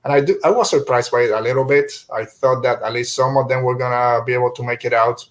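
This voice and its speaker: A man's voice: mid-range voice, fairly even intonation, fast. A man hosting a podcast, chatting casually through a review and often searching for his words as he rambles. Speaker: podcast host.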